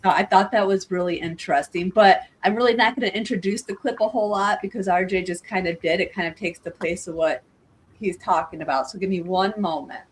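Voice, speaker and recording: A woman's voice: fairly low pitch, brisk, rough recording. Woman host with faint background hum. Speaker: podcast host. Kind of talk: casual chat.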